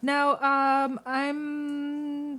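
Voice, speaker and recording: A woman's voice: high voice, completely flat delivery, drawn-out words, a bit bright, slight background noise. A woman chatting casually in a playful, giggly manner. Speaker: podcast host. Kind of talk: casual chat.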